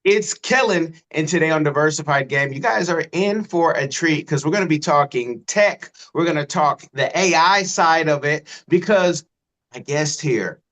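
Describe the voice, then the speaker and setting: A man's voice: fairly high pitch, normal speed, a bit bright. A man hosting a business podcast, introducing his guest in an enthusiastic, informal way. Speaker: podcast host. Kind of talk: casual interview hosting.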